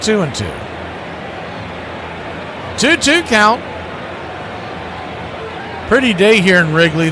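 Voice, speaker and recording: A fairly high-voiced man gives live radio baseball commentary. He is folksy and conversational, slipping casual asides between pitches. There is clear background noise behind him. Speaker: baseball play-by-play announcer. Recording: noisy ambience behind the voice.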